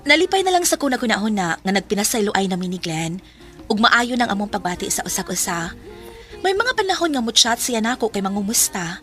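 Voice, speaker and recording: A woman's voice: medium pitch, big pitch swings, brisk, close to an everyday voice. A woman playing an emotional young student, heartbroken and remorseful, in a radio drama. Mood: wistful. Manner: emotional, melodramatic. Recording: radio drama with music bed.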